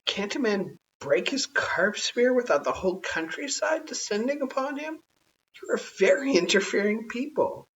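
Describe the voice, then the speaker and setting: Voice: mid-range voice, lively intonation, moderate pace, a bit bright, recorded from a distance, highs cut off, pitched above the performer's own voice, close to an everyday voice. A storyteller playing an exasperated, fussy caveman father. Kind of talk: acted storybook dialogue.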